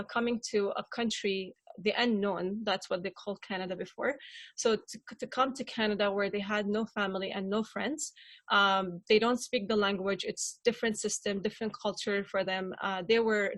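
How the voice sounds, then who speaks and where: Young syrian woman sharing her story on a panel, kinda halting, middling pitch, dry sound. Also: a bit bright, no room sound. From Syria, grew up in Aleppo. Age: young adult. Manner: hesitant, repeats words as they go. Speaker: panel speaker sharing personal experience. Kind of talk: personal story told at an online panel.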